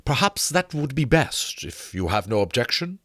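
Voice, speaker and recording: A grave, learned gentleman, played by a man reading a novel aloud. His voice sits high and swings widely in pitch. He explains things with formal, old-fashioned courtesy. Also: very animated, normal speed, dry recording, studio quality. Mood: polite, discreet. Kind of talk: dramatic audiobook character reading.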